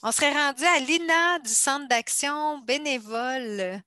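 A woman's voice: high-pitched, unhurried, bright, forceful tone, no room sound. A woman with a Quebec French accent moderating an online meeting in an informal way. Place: indoors, on a video call. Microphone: computer mic on video call.

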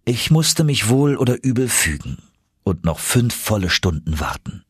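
Husky, animated male audiobook narrator. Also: on the low side, normal speed, soft background music. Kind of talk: dramatic scripted narration.

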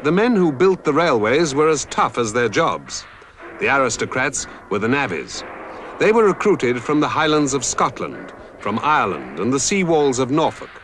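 A man giving measured, dramatic scripted documentary narration. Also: on the high side, background noise. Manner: measured, dramatic storytelling delivery.

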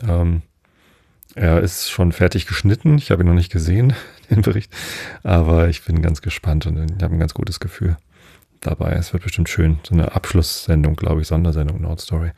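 A man's voice: deep voice, fairly even intonation, normal speed, vocal fry, warm tone, husky, no room sound, clean studio sound. A middle-aged man from Northern Germany hosting a sleep podcast, meandering through his thoughts with many fillers and digressions. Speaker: podcast host. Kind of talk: rambling sleep podcast monologue.

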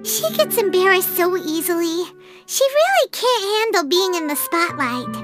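A woman voicing a small, perky, blunt fairy companion with a squeaky childlike voice in a video game. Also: big pitch swings, moderate pace. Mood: thoughtful. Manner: refers to herself in third person, frank. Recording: music playing behind.

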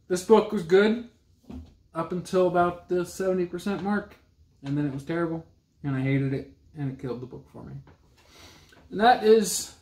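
A man's voice: high-pitched, vocal fry. A man in his thirties talking casually and plainly about books as a vlog co-host, recorded at home on a camera mic. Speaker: book reviewer and co-host of a book vlog. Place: small indoor room at home with a bookcase. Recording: roomy, echoey home camera sound.

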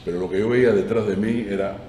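A man's voice: fairly low pitch, steady tone, fast, dark tone. A middle-aged Cuban man with a Cuban Spanish accent, telling a story as a talk show guest and acting out the voices he quotes. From Cuba. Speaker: guest actor. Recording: roomy echo, distant mic, audible room noise.

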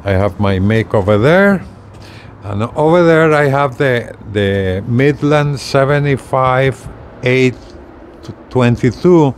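A man in his sixties giving a casual, plain-spoken, step-by-step product demonstration with halting phrasing. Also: mid-range voice, animated. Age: 60s. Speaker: gadget reviewer. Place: outdoors on a residential balcony. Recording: clear outdoor ambient noise.

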